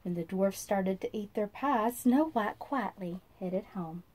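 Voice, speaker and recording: A woman in her thirties reading a children's storybook aloud in an expressive, sing-song manner, as if to young listeners. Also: mid-range voice, unhurried. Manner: sing-song, animated, asks listeners questions. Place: small indoor room.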